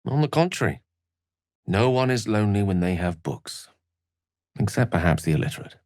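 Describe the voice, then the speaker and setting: A man's voice: on the low side, very animated, normal speed, a little mellow, dry recording. An actor playing an eloquent, scholarly male wizard with dry wit in a fantasy video game, speaking in articulate, theatrical phrases. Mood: wry.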